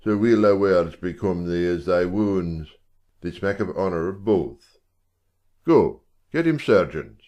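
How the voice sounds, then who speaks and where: A man playing a stately, commanding Scottish king in measured style for a Shakespeare audiobook drama. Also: sits low, lively intonation, a little mellow. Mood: regal, commanding.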